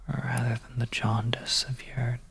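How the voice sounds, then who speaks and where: A storyteller narrating a suspenseful ASMR tale in a soft, breathy whisper, hushed and gentle with pauses. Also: mid-range voice, little pitch movement, slight background noise. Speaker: audio storyteller.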